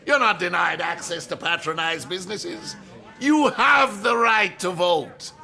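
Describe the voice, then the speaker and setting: An actor playing a provocative, condescending professor speaking emphatically in a radio drama. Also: male voice, high-pitched, unhurried, vocal fry, pushed and bright, exaggerated voice. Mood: defiant, scornful. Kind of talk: radio drama acting, public lecture.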